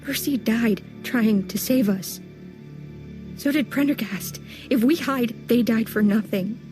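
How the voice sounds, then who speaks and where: A woman's voice: on the high side, slow pace. An actress playing a determined, grieving young woman fugitive in a sci-fi audio drama, speaking with urgent resolve. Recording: loud music behind the voice.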